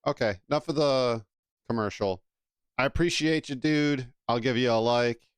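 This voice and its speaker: A man's voice: medium pitch, normal speed, clean tone, no echo. A man giving casual, rambling live commentary as a streamer reacting to a video.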